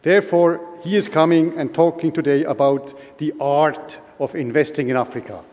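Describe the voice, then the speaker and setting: A man's voice: on the high side, fairly even intonation, normal speed, darkish tone. A man acting as event host, formally reading a prepared introduction of the next speaker. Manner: formal, reads from prepared text. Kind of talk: scripted introduction. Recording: reverberant hall.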